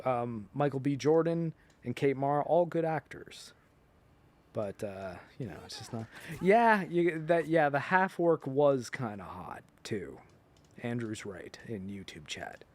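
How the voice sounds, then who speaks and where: A man hosting a livestream, chatting casually in a rambling, joking way and sometimes trailing off into muttered asides. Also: mid-range voice. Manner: animated, joking, often breaking into chuckles. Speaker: livestream host. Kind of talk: casual rambling chat to stream viewers.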